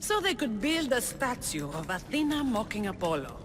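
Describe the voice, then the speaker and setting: An actress playing a proud, weary rebel leader of ancient Greece in a video game, speaking in a wary, commanding, theatrical way. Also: female voice, on the high side, sing-song, moderate pace. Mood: bitter. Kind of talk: dramatic video game cutscene acting. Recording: reverberant hall, music behind.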